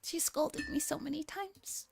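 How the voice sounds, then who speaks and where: A woman's voice: high voice, measured pace, gravelly, slight background noise, voice pushed up. A woman voicing a clumsy, boastful young robot maid from a visual novel, making solemn, over-dramatic declarations. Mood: solemn boasting.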